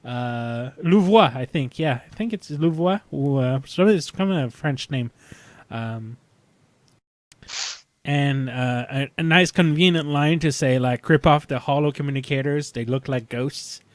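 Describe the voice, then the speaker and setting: A man in his thirties hosting a casual fan discussion, talking in a halting, rambling way as he recaps. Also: medium pitch, no room sound. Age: 30s. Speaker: podcast host.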